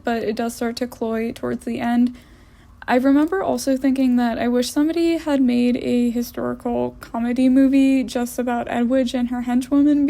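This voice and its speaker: Wry, mellow-voiced young woman. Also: fairly high pitch, vocal fry. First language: English. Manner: wry, opinionated. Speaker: vlogger reviewing films. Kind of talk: casual voice-over commentary.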